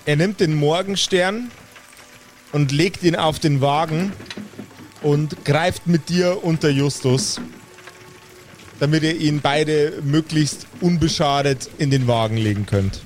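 Slow, deliberate male game master. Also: on the high side, a little hiss or hum. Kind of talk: improvised tabletop game narration. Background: background music.

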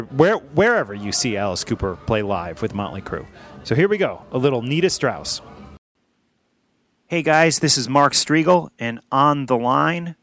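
A man's voice: mid-range voice, fairly bright tone, dry recording. Upbeat man in his forties hosting. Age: 40s. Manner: upbeat, laughs easily, quick agreement. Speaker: podcast host. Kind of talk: casual chat.

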